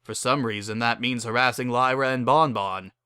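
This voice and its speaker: A narrator voicing a brash, hot-headed, stubborn working pony who talks in a blunt, gruff way. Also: medium pitch, moderate pace, bright, forceful tone, dry recording, natural voice. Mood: scornful.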